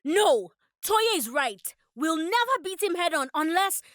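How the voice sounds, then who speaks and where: A woman voicing a brave, caring young girl heroine in an animated adventure, earnest and urgent. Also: very high-pitched, a little slow, dry recording, clean studio sound, heavily acted. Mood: determined. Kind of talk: animated series table read.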